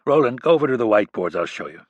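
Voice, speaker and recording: A man's voice: medium pitch, sing-song, racing, no room sound, lo-fi sound. A male narrator voicing a bitter, commanding male criminalist, dry and cutting.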